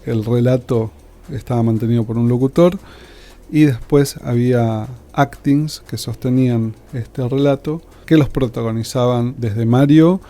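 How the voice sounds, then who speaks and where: A man's voice: medium pitch, a little slow, dark tone. A man with an Argentine accent reminiscing in an interview about his radio work. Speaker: radio scriptwriter.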